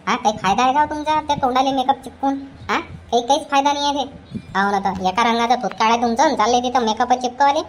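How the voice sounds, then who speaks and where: A woman voicing a nagging, scolding old mother-in-law in a cartoon comedy, with a rapid ranting way of talking. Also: fairly high pitch, a little hiss or hum, natural voice.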